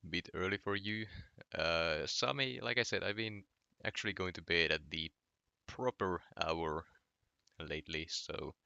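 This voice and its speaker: A man's voice: sits low, unhurried, gravelly, clear, resonant tone, dry recording, highs cut off. A young man from Finland with a Finnish accent, chatting casually on a late-night gaming stream while answering his chat. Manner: relaxed, reading chat aloud and answering.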